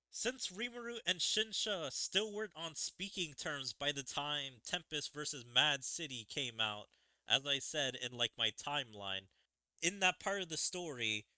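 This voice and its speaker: A man's voice: on the high side, sharp tone, no room sound. A man giving casual, rambling commentary on a game's story as a streamer. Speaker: gaming streamer. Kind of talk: casual commentary on a game story, reading dialogue aloud. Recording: game music behind the voice. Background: game music.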